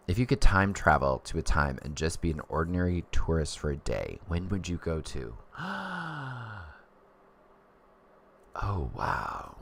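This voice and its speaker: A man's voice: a bass voice, vocal fry, pushed and bright, a little hiss or hum. A man in his thirties chatting casually on a livestream, rambling as he reads viewer comments. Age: 30s. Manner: chatty and rambling, many fillers.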